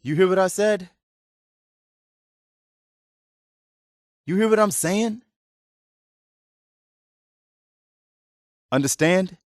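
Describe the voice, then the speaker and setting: An actor playing a weary, earnest, plainspoken militia leader who protects refugees, in post-apocalyptic video game dialogue. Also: male voice, sits high, a little fast, no room sound, clean studio sound, close to an everyday voice. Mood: insistent.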